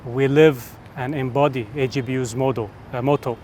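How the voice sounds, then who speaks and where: Man from Lebanon, community committee member, steady and hesitant delivery, faint street rumble behind. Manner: steady, with frequent "uh" pauses. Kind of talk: interview testimony.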